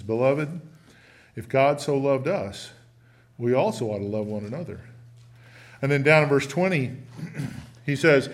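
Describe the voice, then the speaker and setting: A man preaches in a church with a big, booming voice. His tone is folksy and conversational, and he slows down to read scripture with weight. His voice sits slightly high for a man. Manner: folksy, conversational, reads scripture slowly with emphasis. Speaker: preacher teaching a church lesson. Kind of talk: sermon. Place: church sanctuary.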